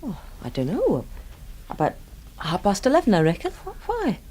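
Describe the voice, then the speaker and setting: An actress playing a flippant, hard-hearted young housekeeper in a radio mystery, talking bluntly and casually. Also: female voice, medium pitch, huge pitch swings, normal speed, warm tone, husky. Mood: flippant, defensive. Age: young adult. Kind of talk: radio mystery drama acting.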